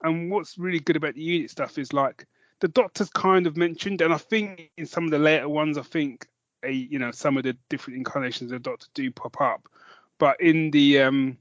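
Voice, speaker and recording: A man's voice: fairly high pitch, fairly quick, fairly bright tone, no room sound, dull audio. A man co-hosting a podcast in casual chat, jokey and self-mocking, with some swearing. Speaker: podcast co-host. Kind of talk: casual chat, recommending audio dramas.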